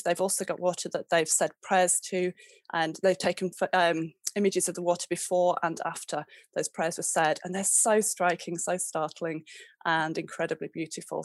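Chatty woman with a low voice. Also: no room sound. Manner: chatty, rambling with frequent restarts and laughs. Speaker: guest homeopath. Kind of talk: conversational interview.

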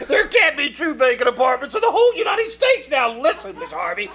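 A man playing a flustered, excitable rental agent who stammers and repeats himself in an old-time radio comedy. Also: extremely high pitch, a little fast, exaggerated voice. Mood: frantic, pleading.

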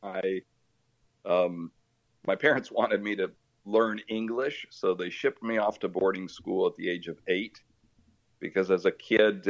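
Man in his 50s from Brazil, native Portuguese speaker, friendly and rambling, very deep gravelly voice, dull lo-fi call audio. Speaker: interview guest, missionary. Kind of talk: casual interview talk.

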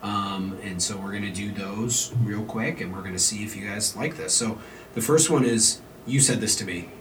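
A man's voice: lowish voice, moderate pace. A man co-hosting a podcast in easygoing casual chat, sounding nasal from a cold. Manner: easygoing, agreeable, animated retelling. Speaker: podcast co-host. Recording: distant mic with noise.

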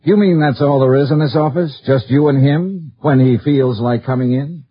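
A man's voice: medium pitch, moderate pace, breathy edge, no room sound, close to an everyday voice. An American man playing a shrewd, dogged insurance investigator in a 1950s radio drama, clipped and matter-of-fact. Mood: insistent. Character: shrewd, dogged male insurance investigator narrating his case. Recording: dull 1950s radio recording with music.

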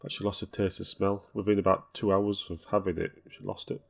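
A man co-hosting a podcast, telling rambling, relaxed stories in casual chat. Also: sits low, brisk, gravelly. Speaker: podcast co-host.

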